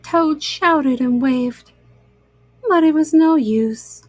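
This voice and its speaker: High-voiced woman reading slowly to children. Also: dry recording. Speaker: children's storyteller. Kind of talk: read-aloud story narration for children.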